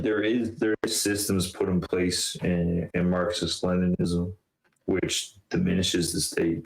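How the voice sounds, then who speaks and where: Deep flat-voiced Irish man, roomy sound. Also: creaky. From Ireland. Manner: rambling, heavy filler words. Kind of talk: casual online debate chat.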